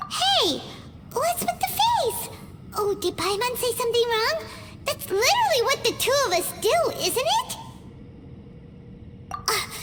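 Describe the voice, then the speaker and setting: A woman voicing a tiny, chirpy, easily indignant fairy girl companion with a squeaky childlike voice in a video game. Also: heavy background noise, heavily acted. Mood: eager, pouty. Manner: exclamatory, speaks of self in third person. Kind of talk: video game cutscene acting. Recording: loud music under game dialogue.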